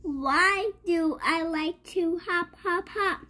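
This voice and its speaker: A child reading a rhyming picture book aloud in a halting way, sounding out and repeating words. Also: extremely high pitch, pushed and bright. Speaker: young reader.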